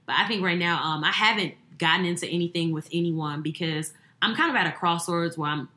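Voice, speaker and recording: Female guest, twenties, slightly low but bright voice, reflective casual talk, echoey room. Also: fairly quick. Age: 20s. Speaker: podcast guest. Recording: roomy, echoing indoor sound.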